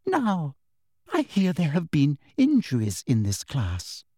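A man voicing a prim middle-aged witch inspector who sounds falsely sweet and softly threatening. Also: a little high, wildly swooping pitch, takes it slowly, dry recording, studio quality, exaggerated voice. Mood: sugary, coolly polite. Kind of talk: audiobook character voice.

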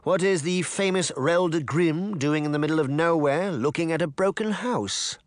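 A man's voice: fairly high pitch, moderate pace, no room sound, studio quality, pitched above the performer's own voice, close to an everyday voice. A man voicing a pompous, sneering male mayor who bullies everyone around him, with a raised, condescending voice.

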